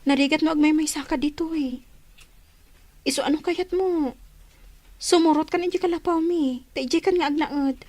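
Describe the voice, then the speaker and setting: A woman's voice: high voice, normal speed, long pauses, a little mellow, close to an everyday voice. An actress playing a supportive female friend, sympathetic and coaxing, in a radio drama. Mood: concerned, coaxing. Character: supportive female friend offering shelter and help. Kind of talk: Ilocano radio drama acting.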